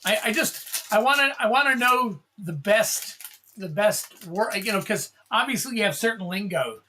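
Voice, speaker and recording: Laid-back stream host, bright voice, rambles thru pack openings and reads out card names. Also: mid-range voice, expressive, moderate pace, a little hiss or hum. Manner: rambling, calls out card names, thanks viewers. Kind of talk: casual livestream chatter.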